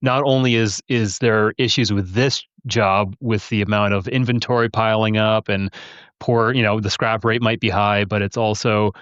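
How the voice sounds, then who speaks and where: A man with a lowish voice chats as a panelist on a podcast. He talks through technical points in a casual style. The audio is a bit dull and lo-fi, with little room sound. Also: fairly even intonation, normal speed, fairly bright tone, smooth, dry recording.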